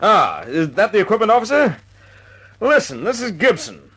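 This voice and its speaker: An actor playing a brisk, commanding wartime air force squadron leader in an old-time radio drama, speaking in a clipped, authoritative way. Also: male voice, high-pitched, sing-song, a bit bright, breathy edge, full character voice. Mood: angry, forceful. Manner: clipped, authoritative, informal with his men. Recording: noisy old radio recording.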